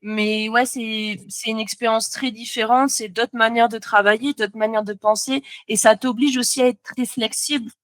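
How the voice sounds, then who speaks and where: A woman's voice: a little high, fairly even intonation, moderate pace, no room sound. A young French woman, a motion designer, chatting as a podcast guest, talking freely with lots of fillers and restarts. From France. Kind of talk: casual podcast conversation.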